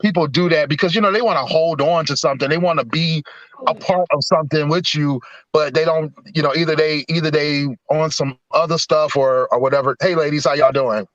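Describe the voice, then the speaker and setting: A man's voice: a little high, brisk, fairly bright tone, dry recording, muffled recording. A man from Detroit co-hosting a live podcast, talking in a laid-back way full of fillers and "yeah, no". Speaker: co-host. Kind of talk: casual live podcast chat.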